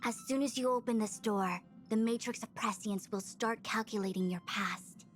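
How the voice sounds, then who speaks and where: A woman's voice: fairly high pitch, moderate pace, lightly acted. A woman playing a composed, authoritative diviner in a video game, speaking formally and precisely. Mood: composed, businesslike. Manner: formal, matter-of-fact, precise. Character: composed, authoritative young female master diviner. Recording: faint game music behind.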